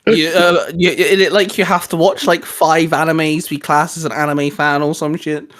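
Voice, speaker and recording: Guy hosting a nerdy chat, voice on the higher side, kinda rambly, repeats himself a bit. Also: little pitch movement, normal speed, a bit bright. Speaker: host. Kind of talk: casual fan discussion chat.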